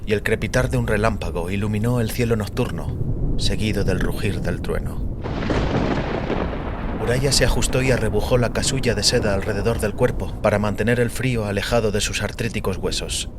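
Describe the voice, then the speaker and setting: A man narrating scripted dramatic fiction in a measured, solemn manner. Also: fairly low pitch, noisy background.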